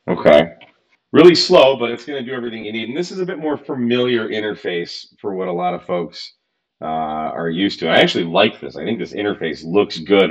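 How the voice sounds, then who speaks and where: Man, 30s, very low voice, casual hobbyist tutorial host, frank and chatty, slightly muffled desk-mic sound. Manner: chatty, frank, mildly exasperated asides. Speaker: radio gear reviewer. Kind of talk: casual screen-recorded tutorial. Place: home workbench room.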